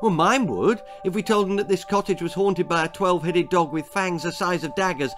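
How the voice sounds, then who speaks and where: A cheeky, mischievous young boy, acted out by a grown man, pitched high and full of eager insolence. He is an excitable little fibber from a spooky, funny storybook, with music behind him. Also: brisk. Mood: eager, urgent. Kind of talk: children's horror-comedy storybook reading.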